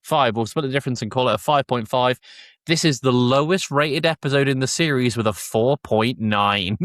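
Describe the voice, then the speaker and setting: A man's voice: medium pitch, moderate pace, a bit bright, no room sound, clean studio sound. A man hosting a podcast in casual review chat, with a sweary, exasperated ranting way of talking. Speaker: podcast host.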